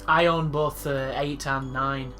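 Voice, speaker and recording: A man's voice: medium pitch, fairly even intonation, takes it slowly, pushed and bright. A man chatting casually while playing a video game, rambling with restarts and asides over faint game music. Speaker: let's play host. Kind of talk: casual live gameplay commentary.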